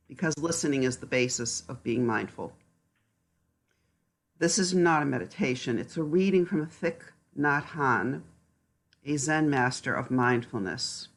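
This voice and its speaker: Slow, calm woman reading meditatively. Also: low-pitched. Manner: gentle, calm, deliberate. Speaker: guest reading on mindful eating.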